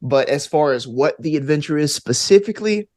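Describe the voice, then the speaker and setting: A man's voice: a little high, lively intonation, a little fast, a little mellow, no room sound. An American man talking casually and enthusiastically as an interview guest, telling stories in an informal way. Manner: enthusiastic, informal, punctuated with "like" and "you know". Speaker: interview guest, actor and game master. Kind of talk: casual conversational storytelling.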